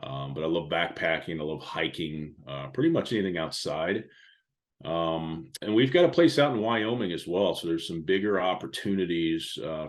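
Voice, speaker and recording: A middle-aged man from the Indianapolis area with a loud radio-style voice, chatting casually as a podcast guest and trailing off quietly at the ends of phrases. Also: extremely low pitch. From Indianapolis area, USA. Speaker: podcast guest, speaker and author. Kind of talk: casual interview talk.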